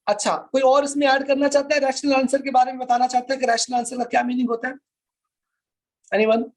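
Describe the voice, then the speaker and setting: A man's voice: extremely high pitch, flat delivery, brisk. A man with a Pakistani accent teaching as a law lecturer, explaining interactively with questions and repetition.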